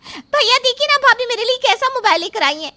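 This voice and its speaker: A woman's voice: very high voice, very animated, fairly quick, bright, forceful tone, no room sound, full character voice. A woman playing a spoiled, pouty twenty-one-year-old little sister in a story drama, whiny and petulant. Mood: whiny, disappointed. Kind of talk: dramatised Hindi moral story acting.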